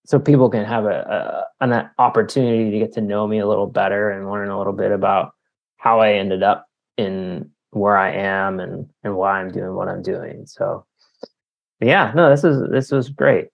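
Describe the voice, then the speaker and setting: A man's voice: on the low side, fairly even intonation, moderate pace, gravelly, lo-fi sound. A man from Canada talking casually as an interviewed author, explaining things in a hesitant, wordy way.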